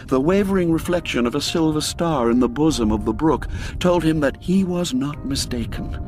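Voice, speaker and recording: A man narrating a classic radio story in a measured, dramatic way, dropping low and quiet for the grim moments. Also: expressive. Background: faint music score.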